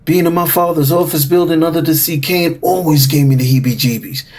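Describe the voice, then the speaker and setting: A man playing a resentful, wry son who narrates a scripted audio drama in the first person, confiding in the listener with a slightly husky voice. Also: on the high side, steady tone, a little fast, a little mellow, natural voice. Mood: wry, uneasy.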